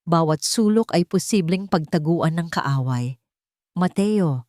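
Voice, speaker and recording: A woman's voice: lowish voice, animated, normal speed, a little mellow, dry recording, studio quality. A woman reading a dramatic scripted audio story as its narrator.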